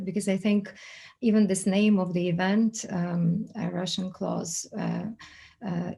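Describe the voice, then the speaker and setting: A woman's voice: medium pitch, warm tone. A woman in her forties with a Ukrainian accent, giving a talk as an invited speaker, hesitant and full of fillers and restarts. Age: 40s. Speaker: guest speaker. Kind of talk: prepared talk at an online event.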